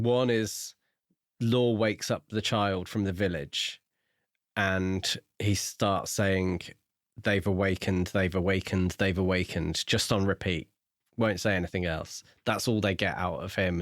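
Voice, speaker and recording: A man's voice: low-pitched, a bit bright, no room sound, clean studio sound. A man co-hosting a podcast, chatting casually about a book. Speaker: podcast co-host.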